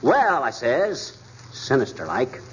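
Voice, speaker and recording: An actor playing a boastful, folksy small-town braggart in a 1940s radio comedy, spinning tall tales. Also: male voice, mid-range voice, big pitch swings, slow pace, slightly rough, full character voice. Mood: tall-tale bragging. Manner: folksy tall-tale bragging, ain't and I says. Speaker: title comic lead. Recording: muffled 1940s radio broadcast, live audience. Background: studio audience laughter.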